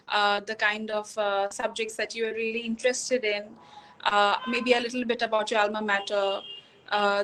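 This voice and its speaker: A woman with a fairly high voice hosts an interview and introduces her guests. She speaks hesitantly, with frequent "uh"s between phrases. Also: fairly bright tone, poor audio. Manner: hesitant, with many "uh" fillers. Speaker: interview host. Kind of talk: hosting a webinar interview.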